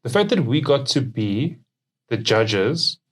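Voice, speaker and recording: A man's voice: medium pitch, big pitch swings, slow pace. A young adult man with a South African accent, chatting casually as a company co-founder on a podcast. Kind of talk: casual conversational podcast chat.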